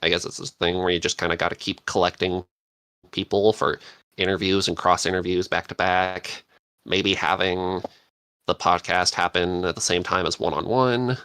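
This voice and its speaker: Very low-voiced man chatting casually, close and dry. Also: fairly even intonation, moderate pace, sharp tone, smooth, no room sound. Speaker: podcast host and interviewer. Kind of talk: casual unscripted podcast chat.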